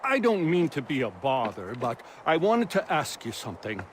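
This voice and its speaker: A man's voice: mid-range voice, wildly swooping pitch, normal speed, slight background noise. An actor playing a humble colonial tradesman in a video game, polite and apologetic as he talks. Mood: sheepish.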